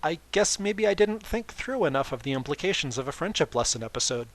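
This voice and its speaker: A man's voice: a little high, animated, fairly quick, no room sound. A man voicing a young female pony student with a hesitant, matter-of-fact delivery.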